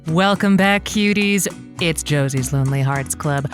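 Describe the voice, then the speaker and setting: A woman playing an effusive, flustered radio advice host who talks in dramatic, halting bursts. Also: sits low, soft background music. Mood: chirpy, effusive. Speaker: call-in show host. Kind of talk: scripted audio comedy, radio host banter.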